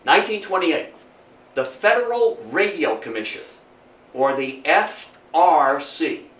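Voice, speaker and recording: An older man giving a public history lecture in a theatrical, emphatic storytelling manner, with dramatic pauses and rhetorical questions. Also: on the high side, lively intonation, fairly bright tone. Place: indoor talk room before an audience. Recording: roomy, distant mic, faint noise.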